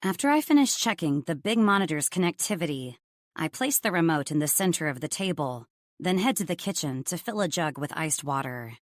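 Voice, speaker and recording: A woman's voice: sits low, animated, brisk, no echo, studio quality. A woman narrating a romance audiobook in the first person.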